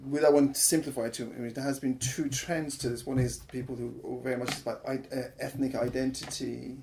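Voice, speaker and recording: A man, Farsi his mother tongue, talks in a thoughtful, halting way with plenty of yeahs. His voice has a gravelly edge. Faint noise sits under the recording. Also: mid-range voice, little pitch movement, a little mellow. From Iran. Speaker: artistic director guest. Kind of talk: casual interview chat.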